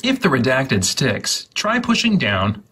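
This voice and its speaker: A man reading instructions aloud for comedy in a deliberate, over-enunciated way. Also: medium pitch, wildly swooping pitch, pushed and bright, slight background noise. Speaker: host of a hobby video channel.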